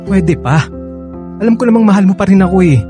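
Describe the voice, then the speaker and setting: An actor with a Filipino accent playing an earnest, persistent young ex-boyfriend in a radio drama. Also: male voice, high voice, expressive, a little fast, over music. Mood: pleading, insistent. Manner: earnest, insistent, sweet-talking.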